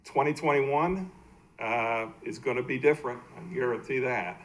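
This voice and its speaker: An American man giving a slide presentation at a public meeting as a team executive, halting and plain-spoken. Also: medium pitch, poor audio. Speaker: baseball team executive presenting to a city council. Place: indoor council meeting room.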